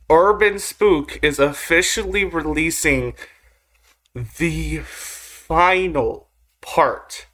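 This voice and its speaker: A man's voice: on the high side, sharp tone. A man giving casual, rambling reaction commentary as a video host, with slangy phrasing and mild swearing. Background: music or drone from the video being watched.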